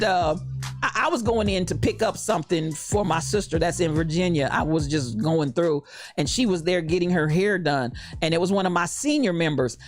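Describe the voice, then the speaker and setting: A woman in her late fifties, a pastor hosting a livestream, talking in a lively, preacherly way and calling out to viewers by name. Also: mid-range voice. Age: 50s. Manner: animated, preacherly, calls out to viewers. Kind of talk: lively livestream talk greeting chat.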